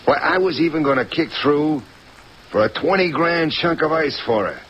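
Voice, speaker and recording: A man's voice: on the high side, expressive, moderate pace, a bit bright. An actor playing a jealous, folksy, moneyed American tough guy in slangy old-time radio drama. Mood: aggrieved, lovesick. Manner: folksy, ungrammatical, blustering slang. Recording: dull vintage radio recording, hissy rain noise.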